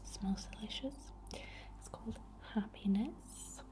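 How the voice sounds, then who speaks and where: A woman whispering breathily and gently as an ASMR host, chatting and guiding a healing ritual in an unhurried way. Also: mid-range voice, poor audio.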